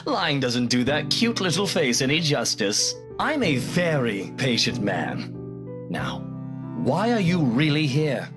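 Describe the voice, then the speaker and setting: Woman putting on a low-voiced, arrogant rich man. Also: big pitch swings, takes it slowly, over music, exaggerated voice. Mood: mocking, sly. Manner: condescending, sneering drawl. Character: rich, arrogant, mocking adult man, impatient. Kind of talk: visual novel character voicing.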